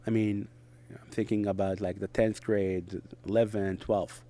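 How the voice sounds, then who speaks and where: A middle-aged man from Israel, a native Hebrew speaker, talking in English as an interview guest in short, halting phrases with frequent restarts. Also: on the low side, little pitch movement, dark tone. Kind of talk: conversational interview.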